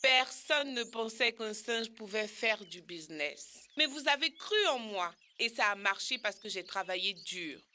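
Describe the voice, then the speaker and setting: A woman's voice: medium pitch, expressive, measured pace, few pauses, sharp tone, slight background noise. A woman voicing a cheery, exuberant monkey fruit seller in a children's cartoon. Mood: excited, proud. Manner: exuberant, exclaiming. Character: cheery monkey who runs a fruit stand. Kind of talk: French-language children's cartoon dub.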